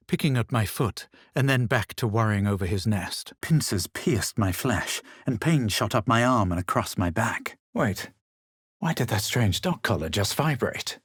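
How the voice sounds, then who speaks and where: Video game hero, a self-assured, superior adventurer with a lowish voice, recorded dry and close. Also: male voice, breathy edge, dry recording, heavily acted. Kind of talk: video game character voice lines.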